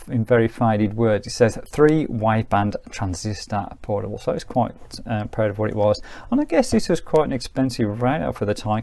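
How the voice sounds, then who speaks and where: Guy doing a casual hands-on review, normal mid pitch, kinda rambly and chatty, corrects himself. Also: vocal fry, darkish tone. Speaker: presenter of a vintage radio review. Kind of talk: informal hands-on review commentary.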